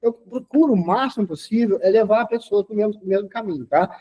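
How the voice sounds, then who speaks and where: A man's voice: high voice, fast talker, dark tone, husky, low-quality recording. A man of sixty, an interview guest, talking in a lecturing way and tagging his sentences with a questioning "okay?". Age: 60s. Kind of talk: casual interview talk.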